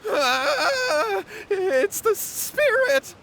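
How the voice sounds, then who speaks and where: A man's voice: extremely high pitch, very animated, very slow, bright, forceful tone, a little hiss or hum, exaggerated voice. A man playing a nervous, earnest young schemer in an anime video game. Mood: terrified. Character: anxious, scheming, poor young younger brother.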